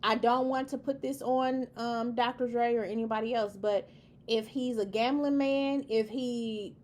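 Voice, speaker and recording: Emphatic woman lecturing, high voice. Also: fairly even intonation, measured pace, a little mellow, a little hiss or hum. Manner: emphatic, repetitive, preachy lecturing. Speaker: commentary host. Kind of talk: opinion monologue to camera.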